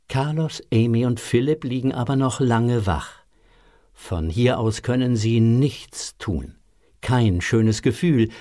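A man's voice: mid-range voice, dark tone. A man narrating a scripted audio story for children.

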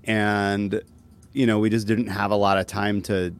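Male musician guest, lower voice, relaxed and self-deprecating, conversational interview delivery with hesitant phrasing. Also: measured pace, clear, resonant tone. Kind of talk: casual interview chat.

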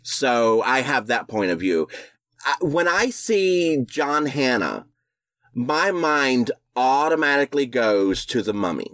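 A man's voice: medium pitch, very animated, fairly bright tone, dry recording. Matter-of-fact man in a dry, close recording. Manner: brisk and matter-of-fact, acts out quotes. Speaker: comedy show producer. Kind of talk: casual chat.

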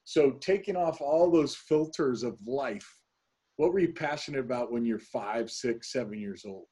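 A middle-aged man hosting a conversational business interview, with a husky, slightly dark voice. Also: medium pitch, moderate pace. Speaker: podcast host, interviewer.

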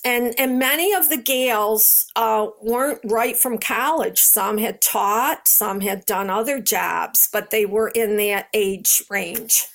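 An American woman in her seventies from New York state, recalling her past in an interview in an unhurried, conversational way. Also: a little high, fairly bright tone. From Rochester, New York, United States. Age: 70s. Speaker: interviewee, Red Cross veteran. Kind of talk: personal recollection in an interview.

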